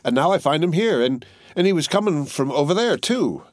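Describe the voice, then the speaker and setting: A man's voice: fairly high pitch, animated, fairly quick, no echo, voice pushed up, natural voice. An anxious young soldier stammers out urgent questions, as a man reading an audiobook puts him on. The voice is raised well above a plain speaking tone. It is captured close and dry.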